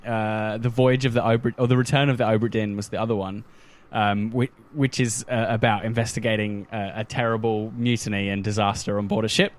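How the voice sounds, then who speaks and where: A man talking casually as a podcast panelist, a little halting as he thinks aloud. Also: fairly low pitch, little pitch movement. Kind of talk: casual chat.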